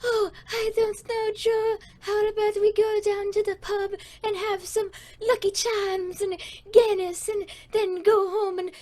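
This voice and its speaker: A woman's voice: very high-pitched, voice pushed up. A woman playing a child who puts on hammy puppet impressions of an Irish married couple in an audio comedy.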